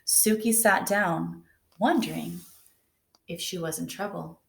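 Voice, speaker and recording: A woman reading a children's picture book aloud in a gentle, unhurried way. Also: on the low side, creaky. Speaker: storybook reader. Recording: echoey room.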